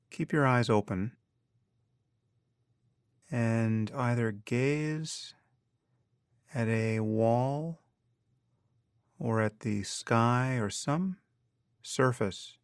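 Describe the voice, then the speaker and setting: Slow, gravelly man guiding meditation. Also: mid-range voice, dry recording, studio quality. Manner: calm, measured, long pauses between short phrases. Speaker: meditation teacher. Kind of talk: guided meditation instruction.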